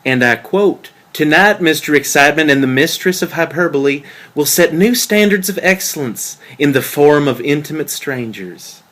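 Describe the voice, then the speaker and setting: A man's voice: fairly high pitch, expressive, normal speed, fairly bright tone. A man playing a jealous, hurt boyfriend in a dramatic table read, questioning in a pointed, sardonic way. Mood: accusing, sardonic. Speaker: actor in a script table read.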